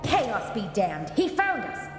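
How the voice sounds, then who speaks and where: An actress playing a commanding, alarmed villainess in a video game cutscene, with an urgent, dramatic, imperious delivery. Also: female voice, a little high, sing-song, takes it slowly, roomy sound, big-room sound, loud music behind the voice. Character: commanding, alarmed villainess wrestling her inner demon.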